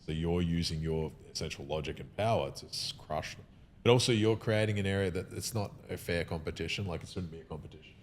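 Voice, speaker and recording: A man's voice: sits low, quick pace, slight background noise. A man talking in a casual podcast conversation, opinionated and emphatic, often breaking off and restarting his sentences.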